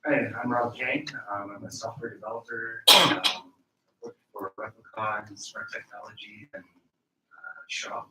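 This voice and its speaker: A man, a software developer, introducing himself to a group in a quick, matter-of-fact way. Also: fairly low pitch. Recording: echoey room, distant, faint noise.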